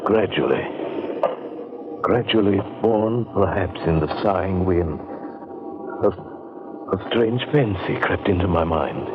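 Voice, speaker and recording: Low-voiced haunted narrator, vintage radio. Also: male voice, noisy background. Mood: brooding, entranced. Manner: measured, brooding, halting delivery. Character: haunted, earnest male narrator resolved to destroy a pagan grove. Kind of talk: old-time radio drama acting, dramatic first-person narration. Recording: dull, band-limited vintage radio recording.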